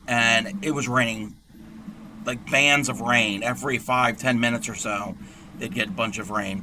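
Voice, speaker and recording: A man with a hoarse, scratchy voice who talks through a crochet tutorial in a rambling, chatty way and clears his throat often. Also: mid-range voice, sharp tone. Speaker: crochet livestream host.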